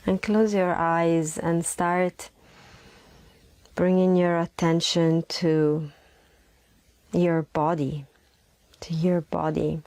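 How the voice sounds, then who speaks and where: A woman leading a guided meditation in a soothing, unhurried manner, with long pauses, repeated phrases and a soft voice that is breathy at times. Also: lowish voice, level tone, dry recording.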